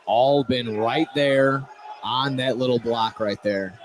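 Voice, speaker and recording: A man's voice: mid-range voice, slow, slight background noise, low-quality recording. A man working as a color commentator, reacting to live basketball plays in colloquial, conversational phrases. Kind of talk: informal live basketball analysis.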